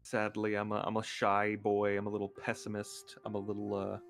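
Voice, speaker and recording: A man's voice: fairly low pitch, completely flat delivery, fairly quick, faint music behind. A man guesting on a livestream, chatting casually in a hesitant, self-deprecating way into a close USB microphone. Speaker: guest streamer.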